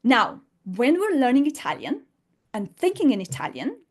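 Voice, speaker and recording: A woman's voice: sits high, huge pitch swings, normal speed. A woman teaching an unscripted online lesson in English, her fourth language, explaining emphatically with rhetorical questions. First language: not English.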